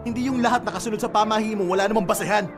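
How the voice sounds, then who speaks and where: Young male lead for radio drama: practical, skeptical boyfriend, brisk talker, clear music bed behind him. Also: sits high, steady tone. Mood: angry. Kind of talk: Filipino radio drama acting.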